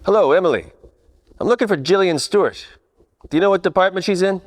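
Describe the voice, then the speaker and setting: An actor playing a world-weary, wisecracking 1940s private detective, narrating in hardboiled radio-play style with deadpan asides. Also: male voice, high-pitched, quick pace, in a large hall, close to an everyday voice. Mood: inquiring. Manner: wry, colloquial, deadpan asides.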